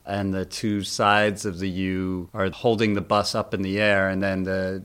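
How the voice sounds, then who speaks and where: A man with a low voice talks as an editor being interviewed about the news. He explains things step by step in plain, informal language. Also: a bit bright. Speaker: senior editor, interviewed guest. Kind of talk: conversational explanation of a news story.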